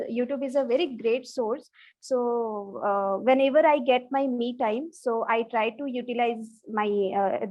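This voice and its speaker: High-voiced woman, hesitant Bangla speaker. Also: highs cut off. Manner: hesitant, many restarts and fillers. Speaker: English learner. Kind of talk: online English practice conversation. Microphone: video call.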